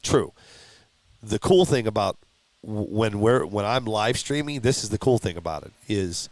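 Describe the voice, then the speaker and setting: Man, middle-aged, low voice, live show host, rambling casual chat, reads viewer comments. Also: expressive, moderate pace, darkish tone, no echo, low-quality recording. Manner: rambling, with frequent restarts and chuckles. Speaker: host of a live-streamed hobby talk show.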